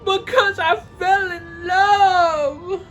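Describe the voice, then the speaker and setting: A woman voicing a warm-hearted young man in a storybook reading, earnest and imploring. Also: very high-pitched, steady tone, very slow, a little hiss or hum, full character voice. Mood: pleading.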